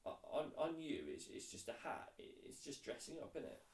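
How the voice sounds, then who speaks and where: A man voicing an awkward, easily embarrassed schoolboy in a storybook, speaking in a halting, casual way. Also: fairly high pitch, sing-song, darkish tone, pitched above the performer's own voice. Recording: roomy echo, distant mic.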